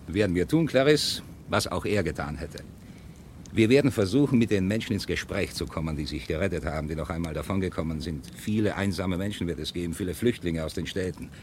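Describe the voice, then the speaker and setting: An actor playing a steady leader of exiled book lovers in a 1970s German radio play, speaking in an earnest, measured, declamatory way. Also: male voice, low-pitched, slight background noise.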